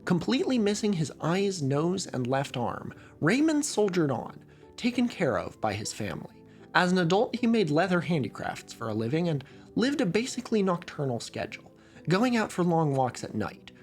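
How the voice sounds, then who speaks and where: Lively American man narrating a documentary. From Oregon, USA. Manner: wry, conversational storytelling with lively pitch swings.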